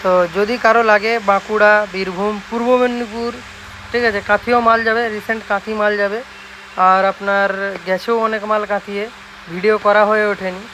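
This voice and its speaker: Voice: mid-range voice, level tone, moderate pace, bright, forceful tone, slight background noise, poor audio. A shop owner with a West Bengal accent giving an informal, insistent, repetitive promotional talk to viewers.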